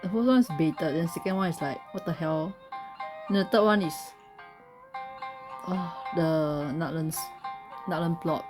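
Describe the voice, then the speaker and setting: Or girl chatting through a game playthrough, low voice, game music behind her. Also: measured pace, long pauses. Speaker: game streamer. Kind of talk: casual playthrough commentary.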